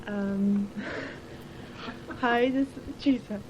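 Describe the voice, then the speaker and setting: A woman's voice: on the high side, extremely slow. A teenage girl from Cork with a Cork Irish accent, chatting and teasing her friend on a tape letter, giggling often. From Ireland. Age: late teens. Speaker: schoolgirl recording a tape letter. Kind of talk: casual chat recorded on a home cassette. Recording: hissy 1980s cassette tape.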